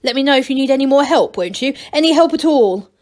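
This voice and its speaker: A self-important gnome duke from a children's story, acted by a woman. The delivery is formal and pompous, in a somewhat high voice. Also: expressive, fast, a little mellow. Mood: awkward.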